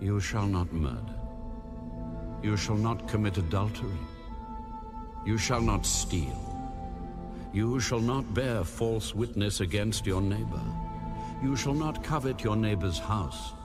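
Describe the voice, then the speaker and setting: A man narrating scripture in a solemn, measured, authoritative style, as in a dramatized audio Bible. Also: fairly low pitch, lively intonation. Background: loud music.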